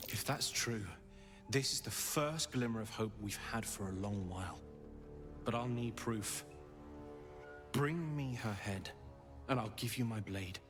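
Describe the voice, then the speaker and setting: A man playing a solemn, righteous holy warrior in a fantasy game, speaking gravely and formally. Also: fairly low pitch, a little slow, pauses often, lightly acted. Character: solemn holy warrior seeking justice, male. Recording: long hall reverb, music behind.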